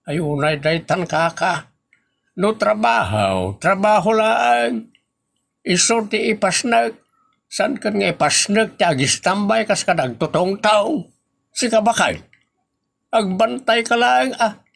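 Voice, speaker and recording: An actor plays a bossy male employer in a comedy audio drama. His voice is creaky and fairly high, swinging widely in pitch. He scolds emphatically in an Ilocano accent, drawing his words out slowly. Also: a bit bright, full character voice. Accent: Ilocano, northern Philippines. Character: bossy, scolding male boss of idle workers. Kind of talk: comedy radio drama dialogue.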